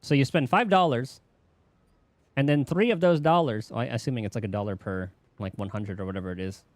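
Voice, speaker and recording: A man's voice: medium pitch, fairly quick, no room sound. A man giving casual, rambling gameplay commentary as a streamer, in an offhand way. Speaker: game streamer.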